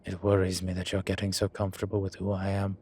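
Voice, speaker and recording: A man playing a flirtatious, cheeky incubus demon in an audio roleplay, talking in a teasing, suggestive way with drawn-out pauses. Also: low-pitched, completely flat delivery, darkish tone, breathy edge. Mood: amused teasing. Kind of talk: audio roleplay acting, one-sided conversation.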